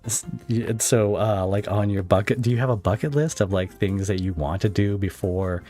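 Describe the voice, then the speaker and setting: Male interviewer, deep voice, halting and jokey conversational delivery, light music bed underneath. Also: warm tone. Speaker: podcast host, interviewer. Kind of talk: casual interview chat. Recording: music playing behind the talk. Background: background music.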